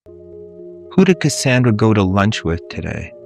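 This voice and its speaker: An actor playing an agitated, suspicious husband of about forty in a radio drama, blunt and demanding. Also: male voice, on the low side, sing-song, unhurried, creaky, faint music behind, full character voice. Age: 40s. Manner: blunt, interrogating, tense.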